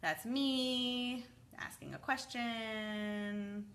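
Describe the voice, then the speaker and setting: Woman, thirties, bright clear voice, chatty and upbeat, stuffy from a cold, answers viewer questions by name. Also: fairly high pitch, steady tone, extremely slow. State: congested from a cold, sniffling. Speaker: sleep consultant answering parents' questions. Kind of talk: live Q&A, reading comments aloud.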